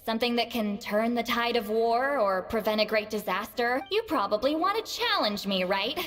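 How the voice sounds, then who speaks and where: An actress voicing a proud, prickly sorceress who talks brusquely and teasingly in a video game. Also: female voice, fairly high pitch, animated, normal speed, a bit bright, faint music behind.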